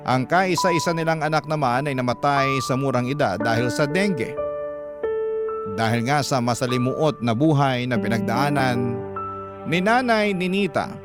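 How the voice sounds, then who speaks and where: Filipino male story narrator over loud music. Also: medium pitch, moderate pace. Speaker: radio drama narrator. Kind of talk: first-person scripted story narration, classic radio style. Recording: loud music bed under the voice.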